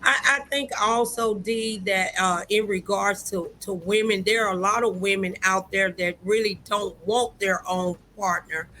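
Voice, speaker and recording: A woman hosting a conversational relationship talk show, speaking in a halting, deliberate way with drawn-out phrases. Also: medium pitch, steady tone, sharp tone, slight background noise.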